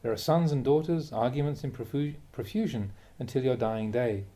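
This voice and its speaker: A man, a Buddhist monk, reads a translated spiritual talk aloud in a measured, emphatic way, posing rhetorical questions. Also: medium pitch, mellow. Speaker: Buddhist monk reading a teacher's talks aloud. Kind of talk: reading a translated Dharma talk aloud, with brief asides.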